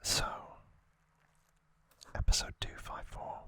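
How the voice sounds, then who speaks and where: A man hosting ASMR, whispering a trivia recap in a breathy, unvoiced voice and short, halting phrases. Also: bright, forceful tone, no room sound. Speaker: ASMR host.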